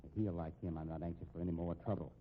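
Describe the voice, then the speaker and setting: Curt, slangy hard-boiled man played by an actor, lowish and rapid, through thin, tinny 1950s radio sound. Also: steady tone, a little hiss or hum, natural voice. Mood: brisk. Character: brusque, tough-talking man in a crime story. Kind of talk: 1950s radio drama acting.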